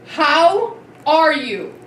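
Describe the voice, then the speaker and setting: A woman's voice: high voice, big pitch swings, very slow, fairly bright tone, a little hiss or hum. A woman taking part in an acting exercise, repeating short practice lines as a workshop participant. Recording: echoey room, distant mic, crowd behind.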